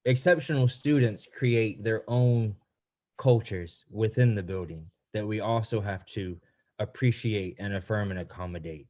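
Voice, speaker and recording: A man's voice: fairly low pitch, unhurried, mellow. A man who teaches special education presents on a video webinar, talking loosely with frequent "um"s and "you know"s.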